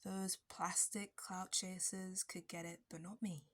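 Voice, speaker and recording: A woman playing an obsessive, possessive barista kidnapper in an ASMR roleplay, intimate and breathy, slipping between whispers and bitter intensity. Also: lowish voice, little pitch movement, a little slow, fairly bright tone. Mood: pleading, resentful. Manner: intimate, breathy dropping into whispers, nervous laughs and sudden bitter flares.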